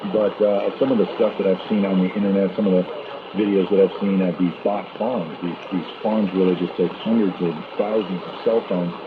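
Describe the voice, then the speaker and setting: A man hosting a radio show, giving news commentary in a conversational, opinionated way, heard over shortwave radio. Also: low voice. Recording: noisy, muffled shortwave radio reception with fading. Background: loud radio static and interference.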